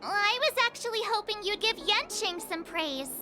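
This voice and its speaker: A woman's voice: extremely high pitch, expressive, normal speed. A woman voicing a perky, chatty teenage girl adventurer in an anime-style video game, over music. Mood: chatty, admiring. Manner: chatty, playful.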